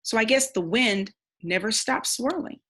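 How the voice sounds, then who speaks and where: A woman reading a storybook aloud like a teacher, pausing to think aloud and explain. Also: a little high, fairly bright tone.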